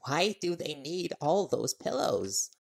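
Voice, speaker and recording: A man's voice: a little high, big pitch swings, takes it slowly, gravelly, a little hiss or hum, heavily acted. A man playing an easygoing, teasing young reporter boyfriend in an audio roleplay, talking casually and with playful stubbornness. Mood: casual, wary.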